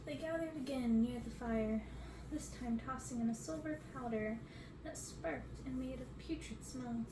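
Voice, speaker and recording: A woman's voice: high voice, a little slow. A young adult woman reading a storybook aloud for ASMR in a bedroom. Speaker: ASMR reader. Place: bedroom, indoors. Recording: roomy, distant, clearly noisy bedroom recording.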